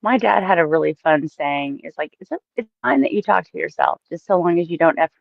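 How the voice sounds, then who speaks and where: Female stream guest, lively intonation, dull lo-fi audio, playful, casual chat. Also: fairly low pitch, a little fast, gravelly, no room sound. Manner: playful, jokey. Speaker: livestream guest.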